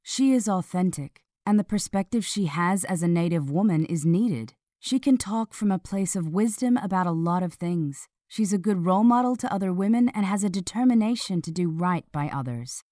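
A woman reading a long-form article in a measured, serious scripted narration. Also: on the low side, expressive, dark tone, no room sound, studio quality. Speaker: article reader.

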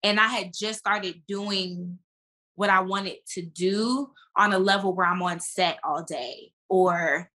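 A woman with a bright, forceful voice speaks as a guest in a casual podcast chat. She is an actress who tells things in a slangy, emphatic way, with plenty of animation. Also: medium pitch, steady tone, slow. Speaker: guest, stage and screen actress.

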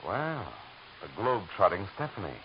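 An actor playing a dry, wisecracking private detective who also narrates, in a 1940s radio drama. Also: male voice, fairly low pitch, very animated, normal speed, dull audio. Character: hard-boiled male private eye, dry and wisecracking. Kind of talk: 1940s radio acting with first-person narration. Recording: hissy old radio broadcast recording.

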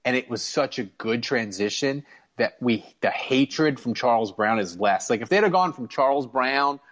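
A man hosting a casual sports talk show, telling stories in an animated, blunt way. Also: medium pitch, moderate pace. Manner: animated storytelling with blunt swearing. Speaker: sports podcast host. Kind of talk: casual sports fan chat. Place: remote group call on a live audio app.

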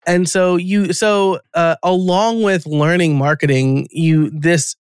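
A man's voice: a little high, fairly even intonation, takes it slowly, clear, resonant tone, no room sound, studio quality. A man hosting a podcast, chatting casually with his guest and often checking in with "right?". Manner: conversational, frequent "right?" and affirming backchannels. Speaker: podcast host. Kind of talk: casual interview chat.

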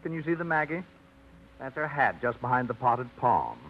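A man's voice: mid-range voice, big pitch swings, normal speed, heavy background noise. An actor playing a fussy, set-in-his-ways middle-aged bachelor who rambles with old-fashioned phrases, in a 1950s radio drama. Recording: muffled old radio broadcast.

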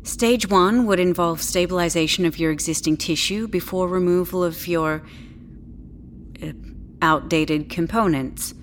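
Woman acting a low-voiced, polite clinic doctor. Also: slight background noise, natural voice. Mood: clinical. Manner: measured, hesitant, politely professional. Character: polite, clinical, tactfully condescending female bioclinic doctor. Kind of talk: audio drama dialogue.

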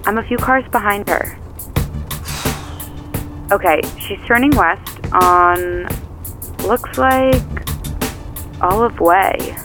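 A woman's voice: medium pitch, slow, long pauses, music in the background, close to an everyday voice. A woman acting in an audio drama as a chatty, slightly flustered driver tailing a suspect, reporting what happens in a hesitant, conversational way. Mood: focused, tense. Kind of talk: naturalistic audio drama phone dialogue.

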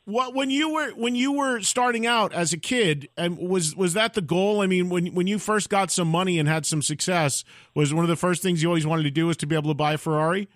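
A man's voice: high voice, fairly quick, a bit bright, dry recording, studio quality. A middle-aged man hosting a rock interview show, chatty and enthusiastic. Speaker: radio and podcast host. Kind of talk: interviewing a guest.

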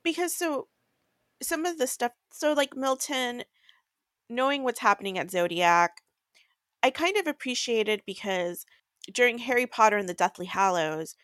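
A woman's voice: a little high, lively intonation, moderate pace, a bit bright, no room sound, clean studio sound. A woman co-hosting a podcast, chatting casually about books. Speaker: co-host of a book recap podcast. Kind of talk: casual book chat.

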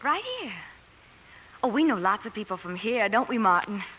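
An actress playing a spunky, plain-spoken young nurse trainee in a 1940s radio drama, forthright and folksy. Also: female voice, medium pitch, expressive, normal speed, bright, forceful tone. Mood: polite, awkward. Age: young adult. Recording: noisy vintage 1940s radio broadcast.

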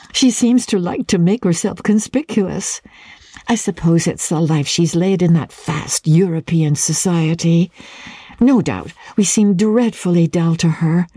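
A woman voicing a genteel young society wife in an audiobook, earnest and quietly strained, breathy and tentative. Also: on the low side, dry recording. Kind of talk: dramatic audiobook character reading.

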